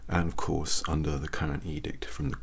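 Male ASMR doctor, thirties, soft and half-whispered, gravelly, unhurried bedside delivery, close-miked with clear background hiss. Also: a bass voice, level tone. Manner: gentle, hushed, unhurried bedside manner. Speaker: ASMR performer playing a doctor. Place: small quiet room indoors. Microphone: close mic, very near the mouth. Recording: noisy close-up recording with mouth clicks.

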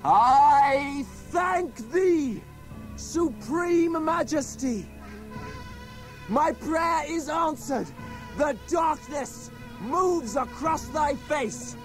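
A man's voice: very high voice, animated, extremely slow, music in the background, heavily acted. An actor playing a brave, earnest, courteous young boy adventurer in a radio drama. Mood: fervent, commanding.

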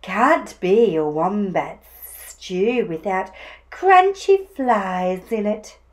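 A woman's voice: medium pitch, very animated. A woman voicing a scornful old male lizard in a storybook, with a hissing delivery. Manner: slow, hissing.

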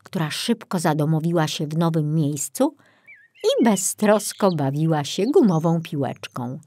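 A woman narrating a children's storybook in an expressive, deliberate style. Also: deep voice. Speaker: audiobook narrator.

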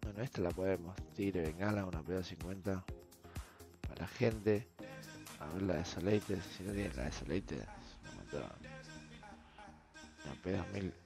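Male streamer, young, Argentine accent, hoarse and lowish, slangy offhand chat over music. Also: animated, normal speed, long pauses. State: hoarse from shouting. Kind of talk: casual gaming video commentary. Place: small room at home, indoors.

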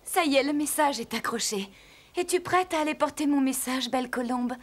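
An actress voicing a gentle young cartoon princess with a sweet, tender manner. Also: female voice, high voice, brisk, a little hiss or hum, natural voice. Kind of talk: children's cartoon dubbing.

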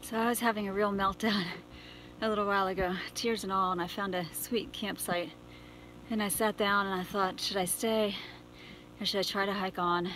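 American woman vlogging over clear outdoor noise. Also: medium pitch, fairly even intonation, normal speed. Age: middle-aged. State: tired. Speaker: thru-hiker vlogger. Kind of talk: casual trail vlog to camera. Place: outdoors on a wooded mountain trail. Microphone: phone at arm's length. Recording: clearly noisy outdoor phone recording.

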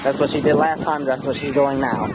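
A young man vlogging on a sunny beach, teasing friends in casual slang, filmed on a handheld camera with loud wind and crowd noise. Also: medium pitch, fairly even intonation, fairly quick. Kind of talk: casual vlog banter. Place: sunny public beach outdoors.

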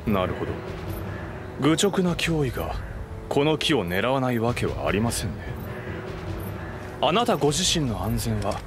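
An actor playing a haughty, sardonic young officer in a video game, politely condescending. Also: male voice, medium pitch, sing-song, unhurried, pauses often. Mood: smug, teasing. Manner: smug, polite but condescending. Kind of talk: dramatic Japanese video game cutscene acting. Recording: studio voice over loud game music.

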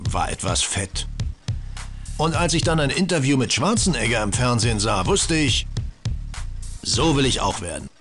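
A man's voice: mid-range voice, sing-song, normal speed, long pauses, natural voice. Musclebound gym sidekick for a cartoon game, acted by a man, blunt and cocky, swinging intonation over music. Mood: matter-of-fact. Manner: blunt, slangy. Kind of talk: cartoon adventure game dialogue.

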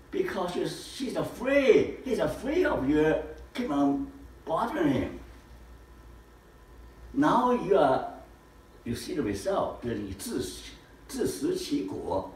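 A man's voice: a little high, sing-song, slow pace, long pauses, darkish tone. An older man giving an informal lesson in a living room, asking rhetorical questions and gesturing broadly, recorded by a camera across the room. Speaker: lecturer. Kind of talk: informal teaching talk. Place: living room with projector screen. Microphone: far camera. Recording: echoey room from far off.

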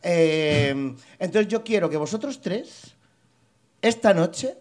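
A man's voice: sits high, expressive, slow pace, a little mellow. A man hosting a live comedy podcast game on a small bar stage, rambling and stumbling over his words into a handheld mic.

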